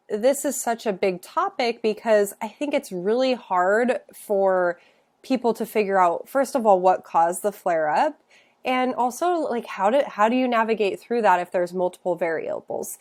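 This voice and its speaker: A woman's voice: medium pitch, a little mellow. A woman who works as a functional nutritionist, congested from a cold, explaining health topics in a casual, explanatory way with many filler sounds. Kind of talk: educational talk to camera.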